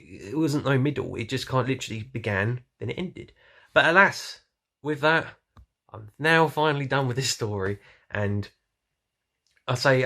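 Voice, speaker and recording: A man's voice: mid-range voice, vocal fry. A man talking casually and off the cuff as an online reviewer, rambling loosely and often trailing off or correcting himself. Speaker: YouTube reviewer. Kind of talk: casual unscripted audio drama review.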